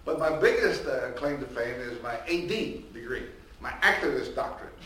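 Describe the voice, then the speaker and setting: A man's voice: mid-range voice, animated, moderate pace. An older man, a professor speaking on a panel without a microphone, projecting a big voice and restarting his sentences often. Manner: loud projecting voice with many restarts and fillers. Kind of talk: unmiked panel talk, conversational lecturing. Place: large echoey hall, speaking without microphone. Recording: roomy echo, distant from the mic, faint ambience.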